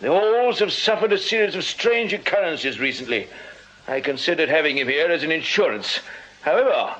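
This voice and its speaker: A man's voice: on the high side, expressive, moderate pace, a bit bright. A man playing an irritable, self-important Victorian music hall manager in a radio drama, officious and impatient, with an English accent. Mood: haughty. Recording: muffled radio drama with faint background ambience.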